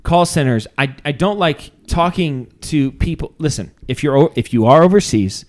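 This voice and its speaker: A man's voice: on the high side, lively intonation, moderate pace, a little hiss or hum. A man co-hosting a podcast, joking and ranting in casual chat. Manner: joking, ranting, breaks into mock voices. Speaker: podcast co-host.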